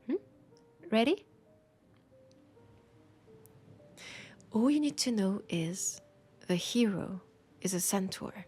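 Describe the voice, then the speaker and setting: A woman with a French accent playing a romantic, teasing bookseller in a soft, breathy, intimate roleplay audio. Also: medium pitch, animated, close to an everyday voice. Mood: warm, playful. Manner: slow, breathy, whispery, tender and playful. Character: romantic, teasing female bookseller fond of a vampire customer. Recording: music behind the voice. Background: music.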